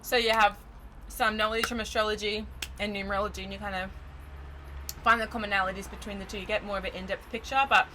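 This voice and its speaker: A woman's voice: medium pitch, little pitch movement, quick pace, sharp tone, slight background noise, low-quality recording. A woman in her twenties, a numerology guest, explaining things in a laid-back, giggly way with lots of fillers. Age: 20s. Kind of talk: casual explanatory chat.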